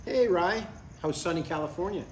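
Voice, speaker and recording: A man's voice: high-pitched, lively intonation, moderate pace. Man acting a meddling older dad, echoey room. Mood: eager. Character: eager, stubborn, meddling retired father of an adult son. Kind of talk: live comedy script table read. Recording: echoey, distant live room with background noise.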